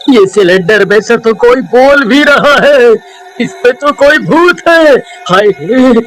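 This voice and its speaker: Guy doing a nervous cartoon village man, really high voice, slow and dramatic. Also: lively intonation, a bit bright, slight background noise, exaggerated voice, low-quality recording. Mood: frightened. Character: anxious, superstitious village men in a cartoon. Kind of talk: cartoon story acting.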